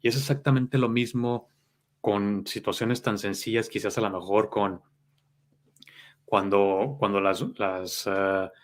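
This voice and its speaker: A man's voice: fairly low pitch, steady tone, slow. A man with a Mexican accent giving a motivational self-help talk as a life coach, earnest and explanatory.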